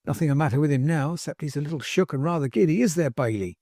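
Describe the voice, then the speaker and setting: An overexcited little barber, as a man reading aloud puts him on, gabbles high and fast, laughing and crying at once. The tone stays mellow, recorded dry and close. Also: no room sound. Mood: excited. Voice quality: excited, half laughing half crying. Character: excitable little male barber, tearful.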